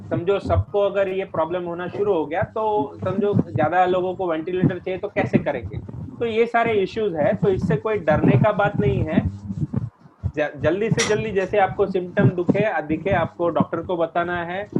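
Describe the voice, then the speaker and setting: A high-voiced man hosts a group call. He explains things in an informal, instructive and reassuring way, circling back to repeat his points. The line is muffled, with clear noise behind him. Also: normal speed. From India. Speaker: host of a group conference call. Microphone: conference call line. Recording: muffled conference call with heavy background noise.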